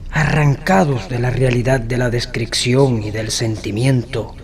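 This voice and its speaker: An actor playing a reflective, humble Georgian gentleman novelist full of admiration in a radio drama. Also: mid-range voice, fairly even intonation, measured pace, close to an everyday voice. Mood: admiring, wistful.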